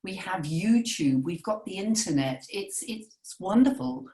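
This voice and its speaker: A woman's voice: mid-range voice, expressive, unhurried, darkish tone, echoey room. A woman who is a language coach, chatting casually and emphatically, often adding "you know" and "right?". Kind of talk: casual podcast chat.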